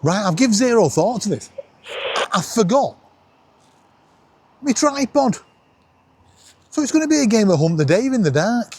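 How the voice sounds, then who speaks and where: A man's voice: high voice, moderate pace, long pauses, slight background noise. A man with a Northern English accent chatting casually as a vlog host, joking and poking fun at himself. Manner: jokey, animated, self-deprecating. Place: outdoors at night on a seafront promenade. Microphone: camera worn around the neck.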